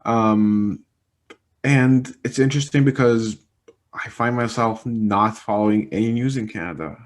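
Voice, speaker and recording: A man's voice: fairly low pitch, unhurried. A young man from Egypt whose first language is Arabic, answering interview questions casually with lots of fillers and restarts. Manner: rambling, many fillers and restarts. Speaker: interviewee, communication specialist.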